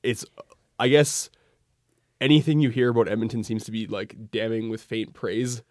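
A young man with a Canadian accent chatting casually as a podcast guest, rambling and halting in a jokey way. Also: lowish voice, no echo, clean studio sound. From Alberta, Canada. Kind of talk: casual chat.

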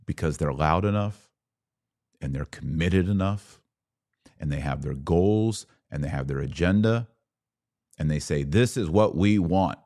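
A man's voice: deep voice, measured pace, a little mellow. A man hosting a podcast, delivering an impassioned political monologue in an emphatic, rhetorical way with repeated phrases for stress. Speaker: podcast host and political commentator.

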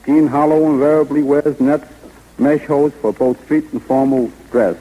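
A man's voice: a little high, fairly even intonation, normal speed. A man giving scripted expert advice on an old radio broadcast, formal and plainly reading from a script. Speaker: stocking designer, guest expert. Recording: muffled, reverberant 1930s radio broadcast.

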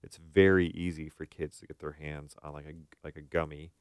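A man's voice: very deep voice, steady tone, a little fast, smooth, dry recording, studio quality. A man hosting a podcast in a casual conversational style, thinking aloud and often checking for agreement. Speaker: podcast host.

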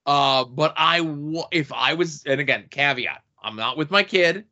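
A man co-hosting a podcast in casual chat, with a big loud voice and a blunt, profane way of talking. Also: on the high side, measured pace. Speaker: podcast co-host. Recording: dull lo-fi podcast audio.

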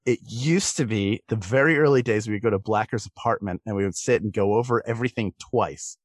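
A man's voice: fairly low pitch, a little fast, dry recording. A man giving thoughtful, explanatory answers as a performer guesting in a conversational interview. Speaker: podcast guest, comedic stage and voice performer.

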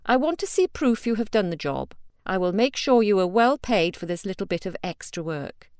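A woman voicing a smooth, scheming male fixer who talks down to others. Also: medium pitch, very animated, moderate pace, darkish tone, no echo, clean studio sound, lightly acted. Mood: cold orders.

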